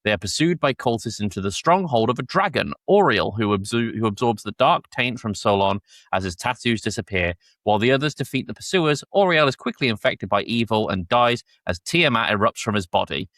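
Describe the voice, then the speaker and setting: Low-voiced man hosting a casual podcast. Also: lively intonation, fairly quick, few pauses, fairly bright tone, no room sound. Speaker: podcast host. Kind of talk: casual review chat with episode recaps.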